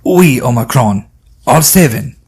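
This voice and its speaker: Casting: male actor as courteous, anxious French scholar, French accent, husky tone, expressive pitch swings. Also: unhurried. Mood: concerned. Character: anxious French male scholar, old friend welcoming guests. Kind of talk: audio drama acting.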